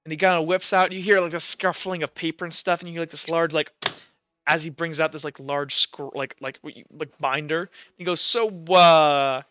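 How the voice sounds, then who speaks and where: Bright-voiced male game master, dull recording. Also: a little high, level tone, brisk, no echo. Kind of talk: casual improvised game narration.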